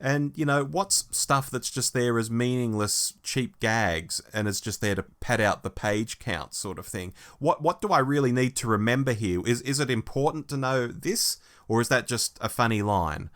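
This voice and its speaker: A man's voice: mid-range voice, moderate pace, hardly any pauses, fairly bright tone. A man hosting a review podcast, speaking emphatically about a book. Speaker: podcast host. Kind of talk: book review discussion, partly reading a plot summary.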